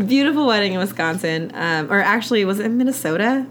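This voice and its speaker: A woman's voice: mid-range voice, expressive, fast talker, slight background noise. A young adult woman from California, a podcast guest telling stories in a chatty way, full of "like" and frequent laughter. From California, USA. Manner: chatty storyteller, many "like" fillers, frequent laughter. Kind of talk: casual conversational interview.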